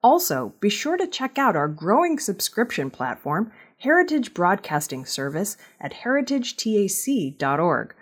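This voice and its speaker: Husky woman reading scripted news, lively intonation. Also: mid-range voice, unhurried, few pauses. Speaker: news reader.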